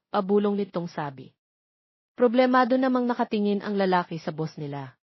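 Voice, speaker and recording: A woman's voice: mid-range voice, lively intonation, normal speed, dry recording, dull audio. Woman narrating, dry close sound. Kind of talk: scripted romance story narration.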